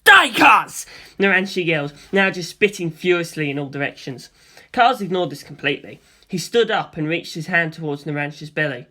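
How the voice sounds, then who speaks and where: A narrator reading a first-person story in an expressive, theatrical way. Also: medium pitch, brisk. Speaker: audiobook narrator.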